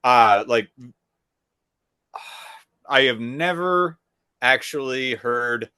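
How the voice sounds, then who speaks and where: A man with a mid-pitched voice talks in a loose, easygoing way, often repeating himself as he finds his words. The recording is dry and close. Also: drawn-out words, clean tone, no echo. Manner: relaxed, with fillers and trailing repeats. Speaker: podcast co-host. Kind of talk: casual chat.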